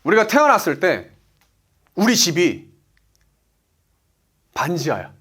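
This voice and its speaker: A man's voice: sits high, wildly swooping pitch, takes it slowly, a bit bright, husky. A man in his forties giving a motivational business talk as a coach, emphatic, asking rhetorical questions and answering them himself. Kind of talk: motivational lecture.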